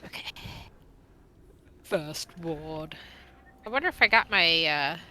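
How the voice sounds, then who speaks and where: A woman's voice: low voice, animated, slow, pushed and bright, slight background noise. A woman with a stuffed-up nose, chatting playfully while gaming at home. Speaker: co-player. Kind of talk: casual gameplay chat.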